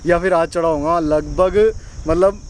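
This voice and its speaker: A young man vlogging outdoors in casual chat, excitable and often laughing, filmed on a handheld action camera. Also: on the high side, unhurried, slight background noise. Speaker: moto vlogger. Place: outdoors on a windy hillside by a dam. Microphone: action camera held at arm's length.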